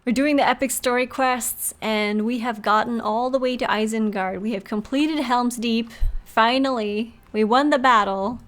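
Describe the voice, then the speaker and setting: A woman's voice: fairly high pitch, normal speed. A woman hosting a live game stream, talking casually and expressively and reading bits aloud in a chatty, giggly way.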